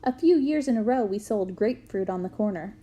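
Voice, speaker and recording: Mellow woman reading a book aloud. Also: medium pitch, expressive, brisk. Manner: clear storytelling, bursts into loud shouts for quoted yells.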